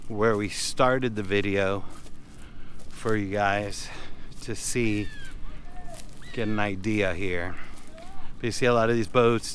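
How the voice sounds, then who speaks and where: A man's voice: fairly low pitch, a little hiss or hum. A man, a boat captain casually narrating a walking tour outdoors and talking straight to his viewers. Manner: relaxed, conversational, addresses viewers directly. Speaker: fishing boat captain and vlogger. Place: outdoors on a small island.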